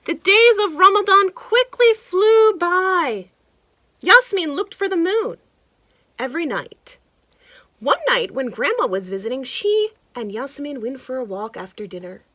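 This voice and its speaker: A woman's voice: sits high, a little slow, dry recording. A woman reading a children's picture book aloud expressively, with asides spoken directly to young listeners. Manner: animated, addressing children directly, pointing things out. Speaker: children's storytime host and reader.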